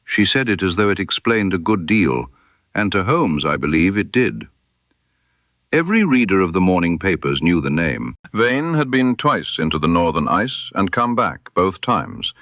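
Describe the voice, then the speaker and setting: A man giving measured, grave first-person narration of a scripted mystery story. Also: low-pitched, no echo, muffled recording.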